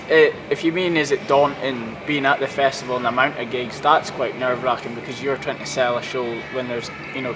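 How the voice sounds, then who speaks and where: Chatty young Scottish-born comedian outdoors. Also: male voice, medium pitch. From Scotland (Aberdeenshire). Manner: chatty, rambling storyteller. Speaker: stand-up comedian promoting show. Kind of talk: casual interview chat. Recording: street noise outdoors.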